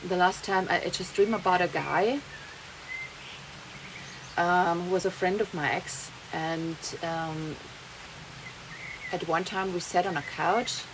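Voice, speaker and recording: Brief: young German-accented woman, lower voice, rambling casual vlog chat, rain noise behind. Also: steady tone, slow pace, pauses often. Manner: rambling, chatty, laughs often, slips German words in. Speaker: vlogger. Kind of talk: casual monologue to camera. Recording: noisy background, rain heard.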